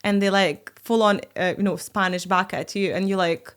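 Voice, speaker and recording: A woman's voice: medium pitch, steady tone, a little fast, clean tone. A young adult woman from Lithuania, a native Lithuanian speaker with a Lithuanian accent, chatting casually and expressively as a podcast guest. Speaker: podcast host being interviewed. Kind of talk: casual chat.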